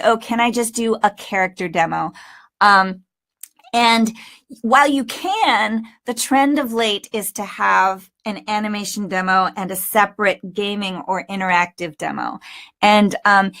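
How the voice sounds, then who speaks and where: A woman giving an informal instructional talk as a voice acting coach, speaking emphatically with stressed pauses and asides. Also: mid-range voice, fairly bright tone.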